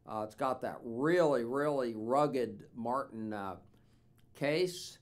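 A man in his sixties with a drawl talks through a guitar for sale in an easy, meandering way. He fills pauses with plenty of "uh"s and restarts. He is recorded seated in a small, dim studio on a stand mic. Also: medium pitch. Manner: relaxed, rambling with many fillers. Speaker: instrument dealer. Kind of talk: casual product demo.

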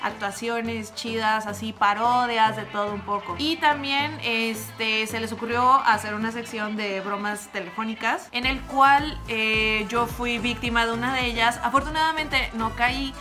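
A woman's voice: fairly high pitch, fairly even intonation, measured pace, hardly any pauses, music in the background. A young woman chatting casually and expressively to camera in a room at home, giving reaction commentary. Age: young adult. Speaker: video creator reacting to a prank call.

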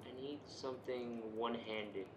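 A man's voice: medium pitch, fairly even intonation, normal speed. Male gamer, casual and jokey, mock-talks to game characters. Echoey room, audible background noise. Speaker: video game player. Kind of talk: casual co-op gameplay chat. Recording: roomy echo, background noise.

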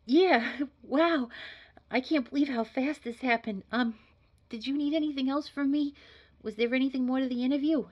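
Woman acting a high-voiced, flustered young applicant. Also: normal speed, highs cut off. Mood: giddy. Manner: hesitant, gushing, nervously bubbly. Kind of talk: scripted audio roleplay acting.

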